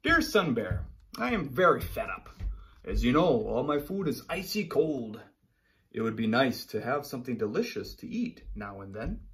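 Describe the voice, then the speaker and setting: A friendly, wistful polar bear from a picture book, read by a man, with a lively voice that lifts and dips. The words are expressive and stretched out for small children. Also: medium pitch, normal speed. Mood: pleading, fed up.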